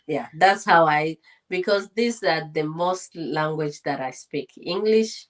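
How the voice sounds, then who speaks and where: A woman from Congo with a somewhat low voice, speaking English as a Swahili speaker. She talks as an interview guest, and her tone is earnest and firm. She explains her views in a steady, reflective way. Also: slow pace. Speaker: interview guest, politician and former translator. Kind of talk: conversational interview.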